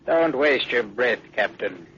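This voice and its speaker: A man's voice: mid-range voice, expressive, slow. A man playing a smug, coldly menacing alien agent with a mocking, formal manner, in 1950s radio drama style. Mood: coolly threatening. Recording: noisy muffled 1950s radio recording.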